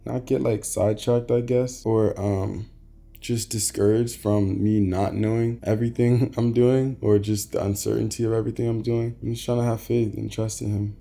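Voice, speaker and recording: A young man with a gravelly edge talks quietly and reflectively to his camera. He rambles and trails off with lots of casual fillers. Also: on the low side. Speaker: content creator. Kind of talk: casual vlog talk.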